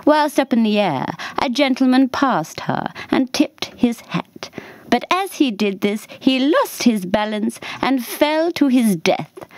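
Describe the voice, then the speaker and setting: A woman narrating a scripted children's story for radio in a measured, deliberate storybook manner. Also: on the high side, lively intonation, darkish tone, no room sound.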